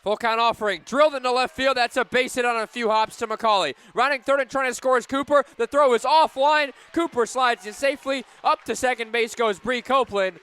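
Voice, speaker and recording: A man calling live softball play-by-play for radio, measured between pitches and animated on big plays. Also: smooth.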